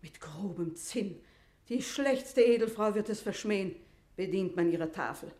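A woman's voice: mid-range voice, animated, moderate pace, warm tone, close to an everyday voice. An actress playing a loyal, indignant attendant of a captive queen, declaiming formal, impassioned verse in a radio drama. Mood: bitter lament. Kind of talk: classical German verse stage drama for radio. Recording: roomy, echoing radio-play sound.

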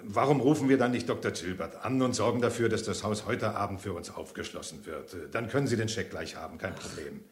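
An actor playing a scheming, impatient man in a German radio crime play, measured and hesitant, then curtly insistent. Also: on the low side, reverberant, close to an everyday voice. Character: scheming, impatient man talking a suspicious woman round.

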